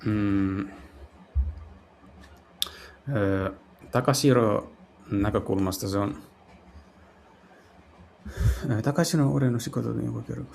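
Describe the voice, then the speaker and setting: Low, creaky man translating haltingly. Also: a little mellow, a little hiss or hum. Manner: halting, searching for words while translating. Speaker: game streamer. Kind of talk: live visual novel playthrough, translating aloud into casual speech. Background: game music.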